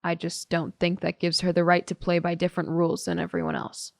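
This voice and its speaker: An actress playing a blunt, bitter high school girl giving guarded testimony in a naturalistic audio drama. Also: sing-song, a little fast, gravelly, dry recording, studio quality. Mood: blunt, resentful. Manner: guarded, curt, sardonic.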